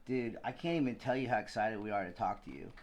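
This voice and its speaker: A man's voice: on the low side, quick pace, slight background noise. A Thailand-born man, hosting a live video podcast with an enthusiastic, rambling, gushing way of talking. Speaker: podcast host. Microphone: video call.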